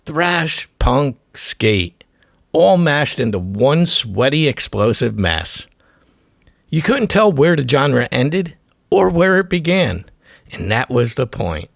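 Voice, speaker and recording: A man's voice: medium pitch, sing-song, slow, creaky, no echo, clean studio sound. A man narrating a scripted music documentary as its host, delivering short, punchy, dramatic phrases. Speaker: host of a music history show.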